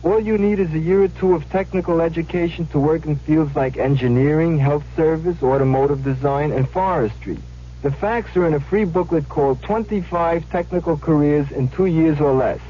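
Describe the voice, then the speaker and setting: A man's voice: on the high side, normal speed. A man reading a scripted public service announcement as a radio announcer. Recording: muffled, lightly noisy old radio broadcast.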